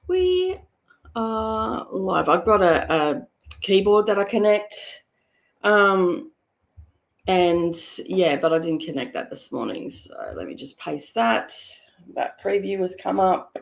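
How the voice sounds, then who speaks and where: A woman hosting a stitching live stream from her home studio, hoarse and croaky today, chatting in a rambling way with many fillers. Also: mid-range voice, darkish tone. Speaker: craft live-streamer host. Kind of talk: casual chat live stream.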